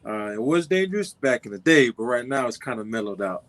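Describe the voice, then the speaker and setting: A man's voice: medium pitch, sing-song, a little fast, fairly bright tone. Laid-back young man, rambling. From Compton, California. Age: 20s. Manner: laid-back, rambling, many fillers and restarts. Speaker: football player guest. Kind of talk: casual interview.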